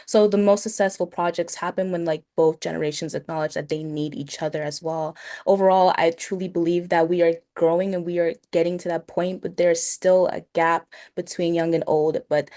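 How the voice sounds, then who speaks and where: A young woman from Nigeria speaks as a youth panelist. Her voice sits a little low for a woman, and her remarks sound partly prepared. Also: steady tone, a little fast, warm tone.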